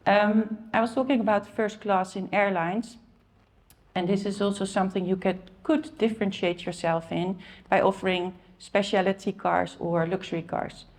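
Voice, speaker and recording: A woman's voice: medium pitch, steady tone, moderate pace, darkish tone. A middle-aged woman from the Netherlands with a Dutch accent, giving a conference talk in a conversational way, with many fillers and restarts. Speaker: conference speaker.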